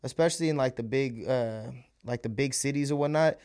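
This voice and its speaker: A man's voice: mid-range voice, fairly quick, a little mellow, clear, resonant tone. Laid-back young man, slangy casual chat. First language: Malayalam.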